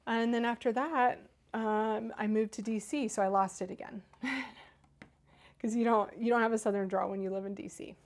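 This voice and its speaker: A woman's voice: on the high side, steady tone, moderate pace. Chatty woman with a Midwestern American accent. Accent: Ohio-like Midwestern American. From Northern Kentucky, USA. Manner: chatty, enthusiastic, talks while working. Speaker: host of a live cardmaking stream. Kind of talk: casual craft demo, reading live chat.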